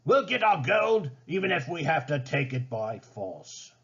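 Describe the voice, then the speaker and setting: A man voicing a greedy, raging colonial commander in a storybook, shouting orders. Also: fairly high pitch, sing-song, unhurried, creaky, husky, lo-fi sound, pitched above the performer's own voice, exaggerated voice.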